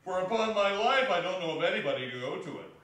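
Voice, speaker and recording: A man's voice: high-pitched, expressive, fast talker, a bit bright. Man as portly bored businessman, indifferent, casual, theatrical, far from mic, echoey. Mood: bored, callous. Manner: casual, offhand, yawning indifference. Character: great fat businessman, bored and indifferent gossip. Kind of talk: theatrical dramatic reading. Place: theatre hall stage reading. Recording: echoey hall, distant mic, some noise.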